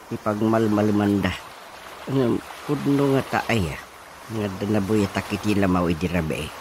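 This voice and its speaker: A man's voice: lowish voice, noisy background. A man playing a fretful old father in a comic radio drama, whining, muttering and exclaiming. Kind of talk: comedic radio drama acting.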